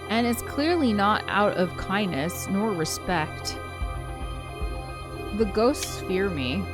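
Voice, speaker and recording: A woman reading a visual novel aloud as a let's play narrator, in an expressive first-person storytelling manner. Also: mid-range voice. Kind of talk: live read-aloud of a story game, with casual asides. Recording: music behind the voice. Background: game soundtrack music.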